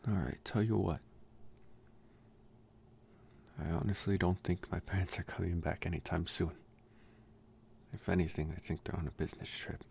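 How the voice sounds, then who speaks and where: A young man playing a protective bully with a secretly tender side in an intimate ASMR roleplay, speaking softly and haltingly with gruff care. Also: sits low, steady tone, slight background noise. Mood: caring. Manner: halting, soft-spoken, gruffly caring.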